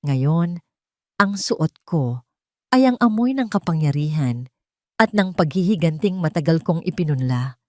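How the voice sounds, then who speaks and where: A woman's voice: low voice, expressive, a little slow, pauses often, mellow, no room sound, studio quality. A woman reading a dramatic first-person story as an audio narrator.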